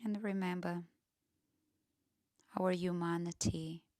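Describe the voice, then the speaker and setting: A woman leading a guided meditation in a gentle, soft-spoken way, often slipping into near-whisper with pauses. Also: lowish voice, level tone, no echo, studio quality.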